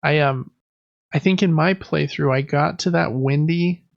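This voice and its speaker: A man's voice: a little high, a little slow, gravelly, no room sound. Crackly-voiced guy chatting games on a podcast, rambly and informal, dry but kinda muffled mic. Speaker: podcast co-host. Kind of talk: casual chat about video games.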